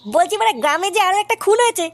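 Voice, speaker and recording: An excitable young cartoon club member with an extremely high voice. The delivery is very quick, brash and slangy, always ready to argue. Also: dry recording. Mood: brash, argumentative. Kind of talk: crude comic cartoon dub.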